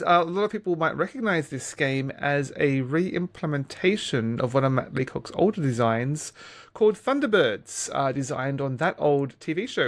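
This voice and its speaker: A man's voice: on the high side, fairly bright tone. A man co-hosting a podcast, talking casually about games in a wordy, stop-start way with repeated words. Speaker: podcast co-host. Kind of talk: casual game discussion.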